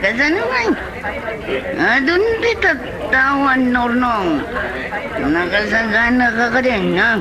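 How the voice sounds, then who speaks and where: An actor with an Ilocano Filipino accent playing a boastful, swaggering taekwondo master in a comedy drama. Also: male voice, extremely high pitch, expressive, slow, long pauses, lo-fi sound, crowd noise, full character voice. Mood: taunting. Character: boastful, swaggering male taekwondo master who challenges others.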